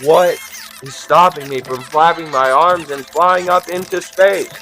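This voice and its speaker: Young man ranting theatrically over music, higher-pitched. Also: measured pace, pushed and bright. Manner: theatrical, profane, exasperated sarcasm with rhetorical questions. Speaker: comedic monologue host. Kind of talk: scripted absurdist comedic rant, mock stand-up.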